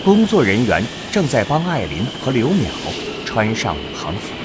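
A man reading scripted narration for a science fiction audio drama in a deliberate, dramatic way. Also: mid-range voice, huge pitch swings, noisy background.

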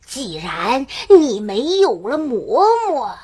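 A woman voicing a sly, greedy male tiger in a children's story, with a husky voice and exaggerated, drawn-out delivery. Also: fairly high pitch, big pitch swings, mellow, full character voice. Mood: menacing. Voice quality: husky, rough. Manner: slow, drawn-out, exaggerated wheedling. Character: sly greedy hungry male tiger, wheedling then menacing. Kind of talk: children's storybook character acting.

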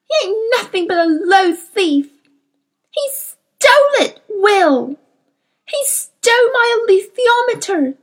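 A woman's voice: very high-pitched, fairly bright tone, pitched above the performer's own voice, exaggerated voice. A woman voicing a distraught, fierce young girl in an audiobook story, sobbing with rage and shame in rustic, ungrammatical speech. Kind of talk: dramatized audiobook character voice.

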